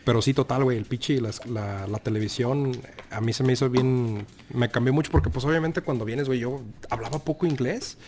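Mexican dude, mid pitch, rambling easy chat full of slang, slight hiss. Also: male voice, brisk, few pauses. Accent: Mexican Spanish. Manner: relaxed, rambling, heavy slang and swearing. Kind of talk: casual chat.

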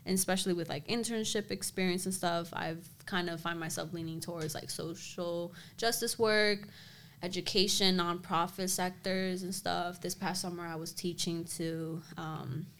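A woman's voice: lowish voice, fairly even intonation, normal speed, slight background noise. A young woman, a co-host, chatting casually on a podcast, rambling and laughing often. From Dominican American, grew up in the US.

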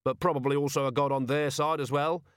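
A man performs a male commander of the watch for an audiobook. He is weary and sardonic, speaking in a dry, understated way at a middling pitch. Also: steady tone, quick pace, clear, resonant tone, dry recording, close to an everyday voice.

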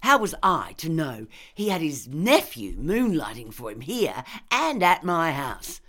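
Woman narrator playing ranting middle-class murderess, sneering, emphatic, very lively pitch, audiobook dialogue. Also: measured pace, heavily acted. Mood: cold confession.

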